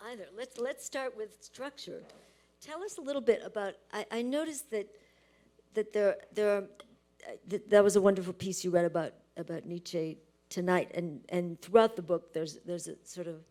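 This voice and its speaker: Mellow, hesitant woman interviewer. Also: medium pitch, normal speed. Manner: hesitant, searching, repeats word starts. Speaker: interviewer, author and professor. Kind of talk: onstage literary interview. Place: live stage event before an audience.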